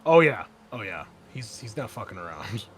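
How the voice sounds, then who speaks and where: A man with a fairly low voice acts as game master for a tabletop roleplaying game. His phrasing is informal and halting, feeling its way along. Some steady noise sits behind him.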